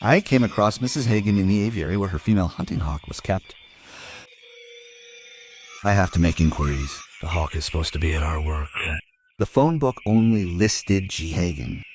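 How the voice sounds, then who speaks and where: A man narrating a crime audio drama as a police investigator, deadpan, terse and world-weary. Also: sits low, moderate pace, a little hiss or hum. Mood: dry, sober. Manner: deadpan, terse, matter-of-fact. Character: male police investigator narrating his murder case, dry and world-weary.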